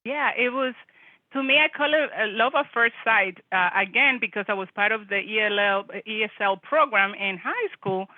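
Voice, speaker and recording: A woman's voice: medium pitch, moderate pace, no echo. This is a middle-aged woman from the Dominican Republic, joining a live stream as a guest. She talks in a chatty, easygoing way, often restarting her sentences and breaking into laughter. Her voice comes through a thin, phone-like video call line with no room sound. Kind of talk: casual live-stream interview. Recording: tinny phone-like call audio.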